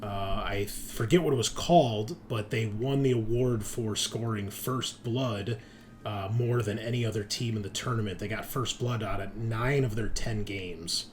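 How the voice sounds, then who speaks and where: Male game master, low voice, sweary casual banter, clear background noise. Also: measured pace. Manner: sweary, teasing.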